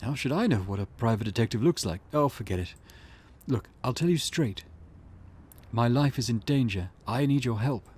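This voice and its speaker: A man's voice: mid-range voice, expressive, moderate pace, a little mellow, slightly rough. A man voicing a frightened, pleading male client with a nervous stammer, reading visual novel dialogue. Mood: uneasy, exasperated.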